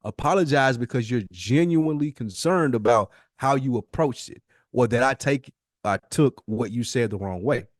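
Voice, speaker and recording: Male podcast co-host, mid-pitched voice, close dry sound. Casual, slangy arguer who drives each point home by repeating it. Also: moderate pace, no echo.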